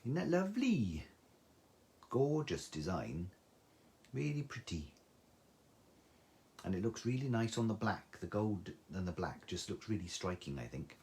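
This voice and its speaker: A man's voice: on the low side, very animated, measured pace, pauses often, a little mellow. A man with a soothing voice, chatting through a live craft tutorial and reading out viewer comments. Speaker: craft demonstrator.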